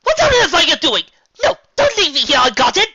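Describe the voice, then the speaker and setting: A woman's voice: medium pitch, big pitch swings, normal speed, pushed and bright, dry recording, pitched above the performer's own voice. A woman voicing a refined, fussy female unicorn with a posh, theatrical manner. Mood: panicked. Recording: dull lo-fi recording.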